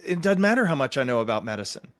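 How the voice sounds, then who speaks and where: A man hosting a reflective interview, explaining things in a measured, reassuring way. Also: mid-range voice, very animated, no room sound, studio quality. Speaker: interview host and psychiatric clinician.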